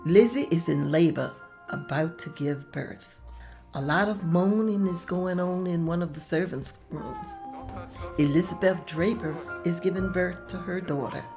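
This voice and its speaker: A woman's voice: lowish voice, measured pace, music in the background. A woman reading stage directions as scripted narration at a table read.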